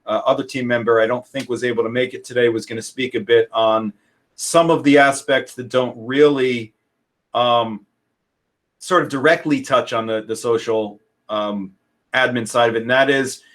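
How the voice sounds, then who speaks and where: A man's voice: medium pitch, fairly even intonation, normal speed, fairly bright tone. Earnest man hosting a video call. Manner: earnest, inviting, repeats words. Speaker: conference host. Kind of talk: casual conference talk.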